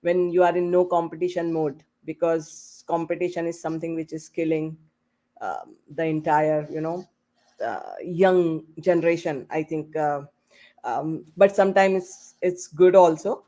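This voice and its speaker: A woman hosting a panel discussion on a video call, asking rambling questions in a casual, halting way with many "you know"s. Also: low voice, fairly even intonation, warm tone. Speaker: host and moderator of a panel podcast. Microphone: online video call.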